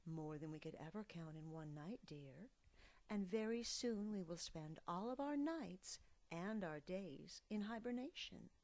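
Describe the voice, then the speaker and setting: A woman doing a calm, low-voiced mother bear. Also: no echo. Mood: soothing. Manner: soft, calm and unhurried. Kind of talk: storybook character voice.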